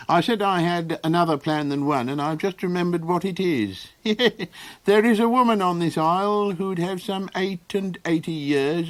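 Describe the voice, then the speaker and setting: A man's voice: a little high, pitched above the performer's own voice, lightly acted. A man voicing a scatterbrained old male Golox creature who rambles and hesitates in an audiobook. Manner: rambling, hesitant with many ums. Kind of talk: audiobook character voice.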